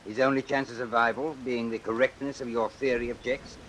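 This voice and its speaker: An actor playing a worried, cautioning physician in a vintage radio drama, speaking in a formal, earnest, remonstrating way. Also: male voice, mid-range voice, fairly even intonation, fairly quick, a bit bright, natural voice, poor audio. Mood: grave, skeptical. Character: worried, cautioning male physician, gravely disapproving. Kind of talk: old-time radio suspense drama acting. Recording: hissy vintage radio recording.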